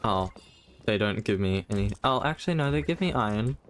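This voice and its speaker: A man's voice: lowish voice, lively intonation, a little fast, slight background noise. A man giving casual live gameplay commentary as a streamer, rambling and thinking aloud. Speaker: game streamer.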